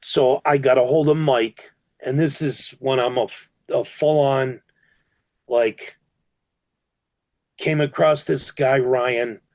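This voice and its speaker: A man in a casual chat, telling a story in an animated way. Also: mid-range voice, slow, pauses often, dry recording.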